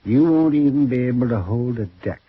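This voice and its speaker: An actor playing a folksy, excitable frontier town doctor in a western radio drama, with drawn-out phrases. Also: male voice, mid-range voice, breathy edge, no room sound. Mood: grave. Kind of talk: 1950s western radio acting. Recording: dull, faintly noisy old radio.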